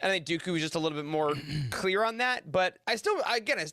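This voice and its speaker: A man's voice: high voice, lively intonation, fast, pushed and bright, no echo, clean studio sound. A man on a podcast panel giving a casual review in an informal, rambling way.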